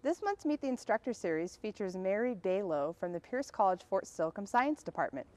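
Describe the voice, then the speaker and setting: Female presenter, medium pitch, scripted magazine-show host links. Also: very animated, a little fast, darkish tone.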